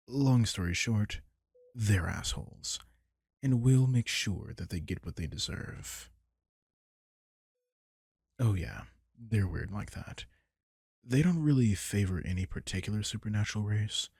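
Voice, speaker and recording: A man playing a protective, gentle vampire king in an intimate roleplay audio, talking unhurriedly and soothingly to one listener in a gravelly, husky voice. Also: on the low side, no echo, clean studio sound, heavily acted. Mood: matter-of-fact, resolute. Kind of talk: intimate roleplay audio drama, comforting monologue.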